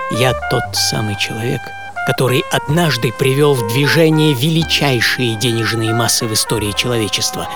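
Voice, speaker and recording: An actor playing an earnest, dreamy storyteller who recalls a poor boyhood, in a theatrical, reflective radio monologue. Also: male voice, medium pitch, vocal fry, lightly acted. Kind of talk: dramatic first-person radio monologue. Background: music.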